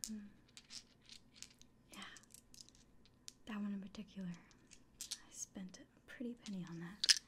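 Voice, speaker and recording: A woman playing a friendly fantasy innkeeper in a soft, breathy, often whispered ASMR roleplay, speaking gently and without hurry. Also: medium pitch, natural voice. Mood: quietly proud. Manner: gentle, unhurried, caring. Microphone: close microphone.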